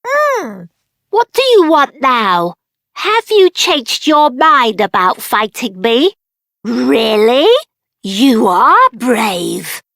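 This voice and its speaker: High-pitched, cocky little gatekeeper. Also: female voice, very animated, extremely slow, creaky, fairly bright tone, no room sound, studio quality, heavily acted. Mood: cocky, challenging. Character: plucky, boastful little gatekeeper challenging travellers to fight. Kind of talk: video game character acting.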